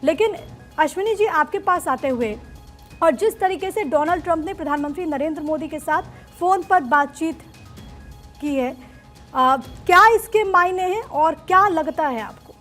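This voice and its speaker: A woman news anchor with a high voice moderates a live debate. She presses guests with pointed questions and cuts in on them. Faint music runs behind her. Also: moderate pace, a bit bright.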